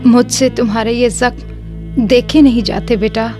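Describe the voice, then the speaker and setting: A woman's voice: fairly high pitch, steady tone, moderate pace, darkish tone, lightly acted. A woman playing an anxious, tender middle-aged mother in a radio drama, plaintive and worried. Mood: anxious, sorrowful. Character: anxious, tender mother fretting over her son. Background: music.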